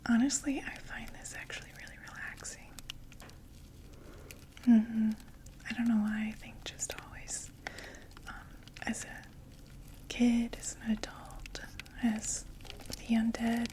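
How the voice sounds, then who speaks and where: A woman whispering a gentle, soothing ASMR roleplay as a friendly makeup saleswoman, often repeating words softly. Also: fairly high pitch, completely flat delivery, unhurried, long pauses. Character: friendly female vampire door-to-door makeup saleswoman.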